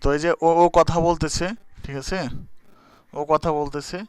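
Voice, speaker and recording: A man's voice: fairly high pitch, little pitch movement, moderate pace, clean tone, dry recording, studio quality. A young man walking viewers through a video app in an informal, hesitant way, with many fillers and restarts. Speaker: YouTube tutorial host. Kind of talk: casual screen-recorded app tutorial.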